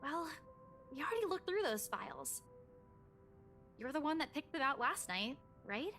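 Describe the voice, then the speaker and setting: A woman's voice: high-pitched, big pitch swings, moderate pace, sharp tone, faint music behind, heavily acted. An actress playing an earnest, insistent young woman in a horror visual novel.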